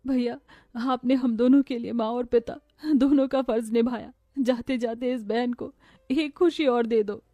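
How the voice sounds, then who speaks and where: A woman's voice: a little high, fairly even intonation, a little slow, mellow, no echo, natural voice. A woman voicing a devoted young sister from a poor family in a cartoon story, pleading and emotional.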